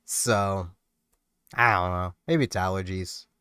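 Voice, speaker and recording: A man's voice: low-pitched, steady tone, takes it slowly, sharp tone, clear, resonant tone, no echo, studio quality. A man, sounding a bit congested from a cold, chatting casually and self-mockingly while streaming a game. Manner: rambling, self-mocking, laughs often. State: congested, getting sick. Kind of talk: casual gameplay commentary.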